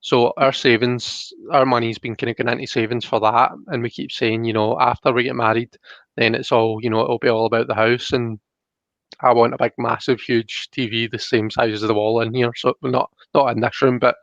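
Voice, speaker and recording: A young man chats as a live stream host, with an ordinary mid-pitched voice. He rambles and thinks aloud in a casual way. The sound is dry and slightly dull. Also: little pitch movement, a little fast, creaky, fairly bright tone, no room sound. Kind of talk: casual chat, reading out viewer comments.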